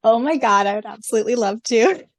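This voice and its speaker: A woman co-hosting a casual fan podcast, gushing and excitable, often laughing between lines. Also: fairly high pitch, fast. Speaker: podcast co-host.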